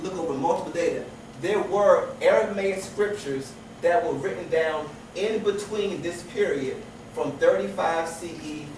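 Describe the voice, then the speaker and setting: A man's voice: on the high side, a little mellow. A man teaching a class, lecturing with many restarts and rhetorical questions. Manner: repeats and restarts phrases, asks rhetorical questions. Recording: echoey room with noise from far off.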